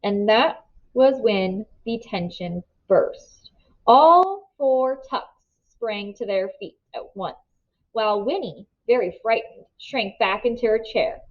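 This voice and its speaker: A woman reading a novel aloud as an expressive storybook narrator. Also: fairly high pitch, slow pace, muffled recording.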